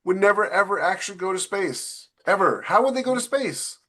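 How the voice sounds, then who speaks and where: A man's voice: high voice, animated, fairly quick, sharp tone. Emphatic man explaining things in casual talk. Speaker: podcast co-host.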